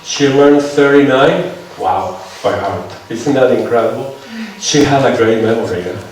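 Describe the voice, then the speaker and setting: A man's voice: medium pitch, a little slow, a little mellow. A man from Venezuela with a Venezuelan Spanish accent, giving a seminar lecture in English and often checking that listeners follow him. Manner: conversational, checks on listeners with "right?". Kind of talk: lecture with audience questions. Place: lecture hall. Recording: echoey hall, mic far off, faint noise.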